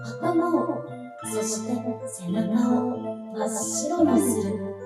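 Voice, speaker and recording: A woman giving dramatic scripted narration for a staged radio play, in deliberate short phrases. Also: on the high side, lively intonation. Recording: very echoey stage sound. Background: piano music.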